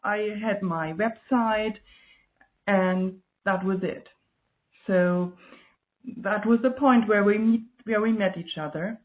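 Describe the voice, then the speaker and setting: A woman's voice: medium pitch, slow pace, narrow, tinny audio. A woman from Germany, a native German speaker with a German accent, speaks as an interview guest in a reflective, casual way, often searching for her words. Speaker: guest, client giving a testimonial.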